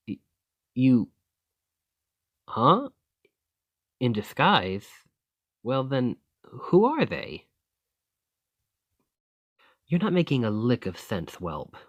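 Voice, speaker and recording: A roguish male bandit leader acted by a man talks in an unhurried, folksy way, with pitch that swings freely. He is teasing and grudgingly impressed, speaking one side of an ASMR roleplay conversation. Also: no echo, dull audio. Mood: baffled, disbelieving. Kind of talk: ASMR roleplay acting, one-sided dialogue.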